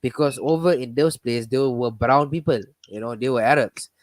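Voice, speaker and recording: A man leads a casual live talk, circling back and restarting his sentences as he goes. His voice sits in a middle pitch. It is recorded dry and close, with no room sound. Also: normal speed, dry recording. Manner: rambling, many restarts and fillers. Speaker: host.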